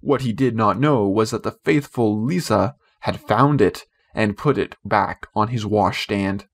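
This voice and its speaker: A man's voice: lowish voice, unhurried. A man reading a classic children's novel aloud as scripted audiobook narration. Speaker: audiobook reader.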